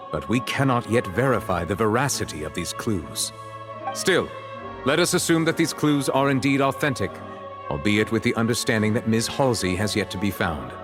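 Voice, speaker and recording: Deep-leaning, stately male judge. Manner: formal, stately, commanding. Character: stern, authoritative male judge presiding over a trial. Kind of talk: theatrical video game voice acting. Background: game music.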